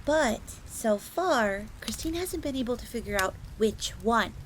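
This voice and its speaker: Woman doing a young fox maid, highish voice, earnest n secretive, audio roleplay, bit of fire crackle in the background. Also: expressive, measured pace. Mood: explaining, curious. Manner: speaks of herself in third person, confiding. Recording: crackling fire noise behind voice.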